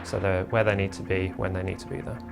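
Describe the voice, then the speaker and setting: A man's voice: deep voice, flat delivery, quick pace, vocal fry, music in the background. A man, a military instructor, explaining his work informally in an interview.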